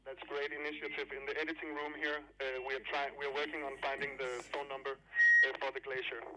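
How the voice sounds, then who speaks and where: A man presenting an improvised radio show, speaking hesitantly and haltingly with long pauses. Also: mid-range voice, flat delivery, poor audio. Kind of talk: improvised radio play discussion. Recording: radio broadcast with music underneath. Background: music.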